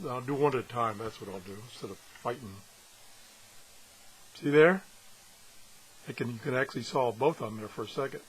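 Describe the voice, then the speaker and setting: A man with a slightly husky voice gives a casual, unscripted hands-on review, pausing and thinking aloud as he goes. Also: medium pitch, rough recording. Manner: halting, thinking aloud as he handles things. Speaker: gadget reviewer and video host.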